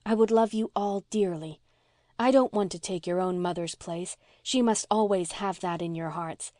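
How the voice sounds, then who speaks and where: Woman reading as a gentle, frank, affectionate woman, dry sound. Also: lowish voice, normal speed, dry recording, close to an everyday voice. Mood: warm, sincere. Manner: soft, tender, sincere. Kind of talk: audiobook character voice.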